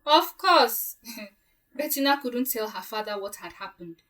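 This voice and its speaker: Woman playing a high-voiced, deliberate accuser. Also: animated, fairly bright tone, recorded from a distance. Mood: assured, accusing. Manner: deliberate, explanatory, assured. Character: shrewd woman calmly exposing a plot. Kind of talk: dramatized story dialogue.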